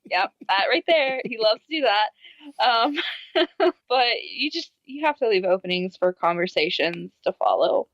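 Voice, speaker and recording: A woman co-hosting a casual podcast, animated as she acts out quoted messages and laughs easily. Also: fairly high pitch, unhurried, no room sound, highs cut off. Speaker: podcast co-host.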